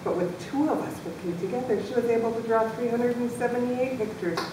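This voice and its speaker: A woman's voice: quick pace, recorded from a distance, lightly acted. A woman playing a bewildered, hesitant schoolboy in a comic readers theatre story. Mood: earnest. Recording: echoey room from afar, loud rumbling noise.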